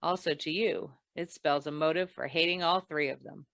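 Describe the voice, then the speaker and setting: A wry tough lady investigator, old radio crime show, clipped delivery, lower voice, no room echo. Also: moderate pace. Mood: accusing, cool. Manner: clipped, deadpan, probing. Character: tough, wry female noir insurance investigator. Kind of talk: old-time radio noir acting.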